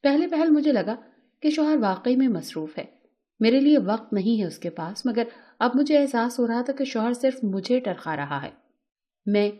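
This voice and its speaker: High, mellow-voiced woman narrating a story. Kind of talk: scripted first-person emotional story narration.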